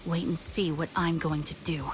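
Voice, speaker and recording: An actress playing a stern, focused young military sniper in an anime game, serious and clipped. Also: female voice, fairly low pitch, flat delivery, moderate pace, slight background noise, lightly acted. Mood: cold defiance.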